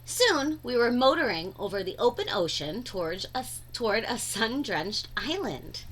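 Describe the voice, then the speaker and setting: A woman's voice: fairly high pitch, big pitch swings, moderate pace, fairly bright tone, slight background noise. A woman reading a children's storybook aloud expressively, drawing out words for dramatic emphasis. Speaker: read-aloud narrator.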